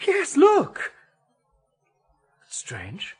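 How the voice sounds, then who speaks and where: A man's voice: very high-pitched, huge pitch swings, drawn-out words, faint music behind, exaggerated voice. An actor playing a besieged, desperate, educated man in a radio drama, brooding and parched. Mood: urgent.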